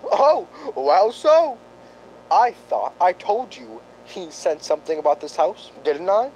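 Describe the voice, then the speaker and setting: A man acting in a radio drama as a grave, self-important doctor of psychic investigation, speaking formally and deliberately. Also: sits high, sing-song, darkish tone, slight background noise, full character voice, low-quality recording. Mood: impatient.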